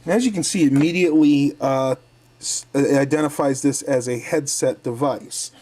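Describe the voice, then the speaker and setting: A man's voice: medium pitch, normal speed, darkish tone. A man reviewing a product in a casual hands-on demonstration, explaining things methodically step by step.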